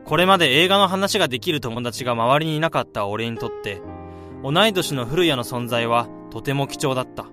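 A young man acting in a radio drama as a steady, caring friend, speaking in a measured, reflective way. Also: medium pitch, natural voice. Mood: nostalgic. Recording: music and crowd sounds behind the voice. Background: music and crowd sounds.

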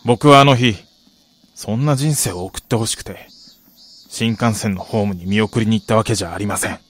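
An actor playing a middle-aged brother-in-law in a radio drama, formal and deliberate, polite but bitterly reproachful. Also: male voice, fairly low pitch, lightly acted. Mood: bitter, firm.

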